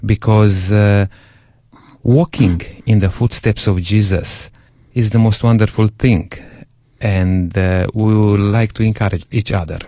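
Slow, deep-voiced male radio host. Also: steady tone, dark tone, no room sound, clean studio sound. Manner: slow, measured, encouraging, frequent pauses with "uh".

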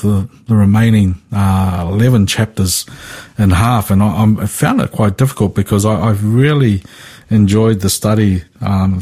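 A man with a New Zealand accent teaches a Bible study on radio in an easygoing way, with many pauses and fillers. His voice is low and husky. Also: a little mellow.

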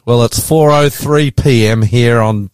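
A man's voice: mid-range voice, a little slow, a little mellow, no echo. Chatty Australian man hosting. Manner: chatty, curious, colloquial, laughs easily. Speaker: podcast host. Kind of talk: casual interview.

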